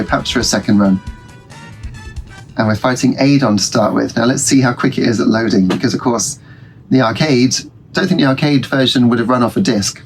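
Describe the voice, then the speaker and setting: A man hosts his retro gaming channel, chatting his way through a match at a mid-range pitch. He sounds bunged up from a cold and rambles with ums and restarts. Game music plays clearly behind his talk. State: congested from a cold. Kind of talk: casual let's-play commentary.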